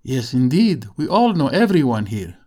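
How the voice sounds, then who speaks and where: A man playing a courteous older Greek taverna keeper in an audiobook, speaking in a grave, deliberate way. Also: mid-range voice, big pitch swings, darkish tone. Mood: grave, sorrowful. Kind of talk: audiobook character dialogue.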